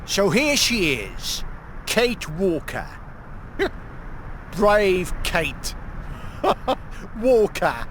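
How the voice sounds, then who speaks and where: An actor playing a greedy, menacing villain in a video game, mocking and taunting in a lecherous way. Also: male voice, high voice, sing-song, extremely slow, long pauses, a bit bright, slight background noise, full character voice. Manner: taunting, mocking, with sneering pet names.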